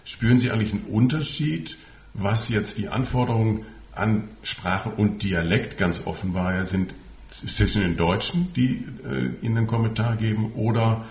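A man's voice: lowish voice, steady tone, normal speed, vocal fry, reverberant, dull audio. A man hosting a podcast interview, asking long, winding questions with many fillers. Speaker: podcast host.

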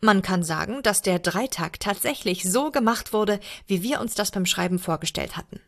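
A woman's voice: medium pitch, lively intonation, moderate pace. A woman reading an email aloud as a guest reader.

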